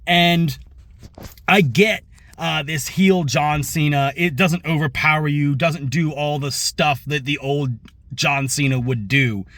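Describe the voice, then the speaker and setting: A slightly hoarse man hosting a reaction vlog, talking casually to viewers in a rambling, conversational way with asides and self-corrections. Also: fairly high pitch, fairly even intonation, slow pace, fairly bright tone, no echo. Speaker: wrestling reaction show host. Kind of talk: casual opinion commentary to viewers.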